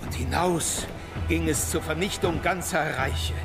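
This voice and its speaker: An actor playing a solemn, grandiose narrator of old legend, declaiming in a stately radio drama style. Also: male voice, on the high side, measured pace, creaky, over music.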